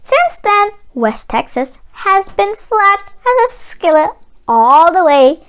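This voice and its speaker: A woman narrating a children's storybook aloud in an expressive read-aloud style. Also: very high-pitched, slow pace. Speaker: children's book reader.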